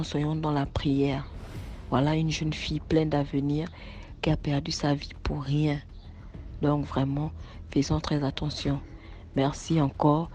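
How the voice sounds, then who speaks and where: Flat-voiced woman recounting a spooky tale. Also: low voice. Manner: earnest, repeats phrases for emphasis. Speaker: story contributor. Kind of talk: telling a true spooky story, then a closing appeal. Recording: slightly dull sound with faint noise.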